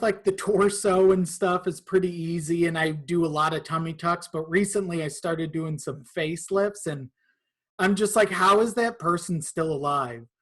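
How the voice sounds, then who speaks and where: A man chatting casually as a podcast guest, in a halting, amused manner. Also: fairly high pitch, fairly bright tone. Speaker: podcast guest, video editor. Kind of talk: casual chat.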